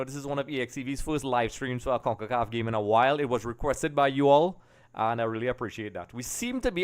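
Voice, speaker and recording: A man's voice: medium pitch, clear, resonant tone. A middle-aged man from Trinidad and Tobago, chatting casually to viewers as he hosts a football watch-along in a rambling way. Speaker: livestream host. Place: small home streaming room. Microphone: desk microphone on a boom arm.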